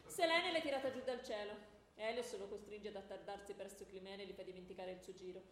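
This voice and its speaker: A woman's voice: mid-range voice, quick pace, natural voice. A woman playing a scolding goddess mother who rebukes her mischievous child in comic stage radio theatre. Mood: scolding, exasperated. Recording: echoey hall with faint noise.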